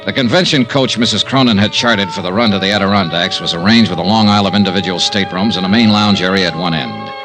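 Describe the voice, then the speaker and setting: A man's voice: low voice, fast, few pauses, music in the background. An actor playing a wry, skeptical male investigator in a 1950s radio detective drama, with a clipped, dry delivery. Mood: wry narration. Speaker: lead actor and narrator. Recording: noisy old radio recording.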